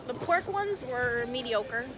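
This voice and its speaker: A woman's voice: fairly high pitch, lively intonation, slow pace, poor audio. A young woman in casual vlog chat, speaking to a handheld camera. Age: young adult. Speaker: vlogger. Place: busy restaurant, bar and streets. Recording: loud music and crowd chatter behind.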